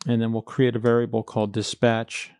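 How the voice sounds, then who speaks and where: Male tutorial instructor, mid-pitched, methodical and plain-spoken, recorded close and dry. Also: monotone, brisk, darkish tone, clear, resonant tone, no room sound. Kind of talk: screencast coding walkthrough, explaining step by step.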